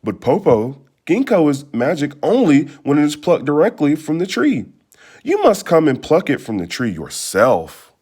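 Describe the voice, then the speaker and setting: A man's voice: on the high side, huge pitch swings, moderate pace, a little mellow, slightly rough, voice pushed up, exaggerated voice. A man voicing a clever, bold young girl, the eldest sister in a storybook, with a questioning, sing-song way of calling out. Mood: sweetly tempting.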